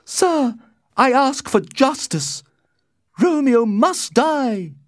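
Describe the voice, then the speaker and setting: A man's voice: extremely high pitch, very animated, drawn-out words, no echo, clean studio sound, voice pushed up, heavily acted. A man voicing a grieving, vengeful noblewoman in a storybook, wailing and insistent as the character demands justice. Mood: outraged, demanding.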